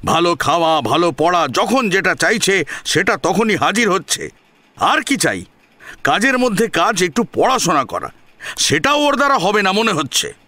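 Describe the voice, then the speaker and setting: A man's voice: high voice, big pitch swings, normal speed, sharp tone, no room sound, voice pushed up, heavily acted. A man playing an angry, proud father in an audio drama, scolding with indignant, scornful rhetorical questions. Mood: exasperated, scornful.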